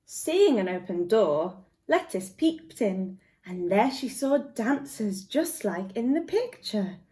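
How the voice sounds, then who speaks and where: A woman guest reader reading a picture book aloud to young children in an expressive storytelling manner. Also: mid-range voice, takes it slowly.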